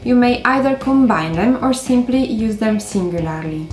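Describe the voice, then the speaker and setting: A woman in her thirties from Romania, speaking English with a Romanian accent, gently hosting a beauty tutorial to camera with frequent hesitations. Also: on the high side, animated, moderate pace, roomy sound, faint music behind. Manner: gentle, careful delivery with many hesitations. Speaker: beauty vlogger. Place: indoors by a stone wall.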